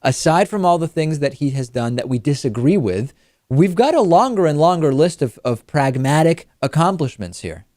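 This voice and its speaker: A man hosting a political talk show, explaining news and analysis in a measured, qualifying way. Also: fairly high pitch, very animated, a little mellow, no room sound, studio quality.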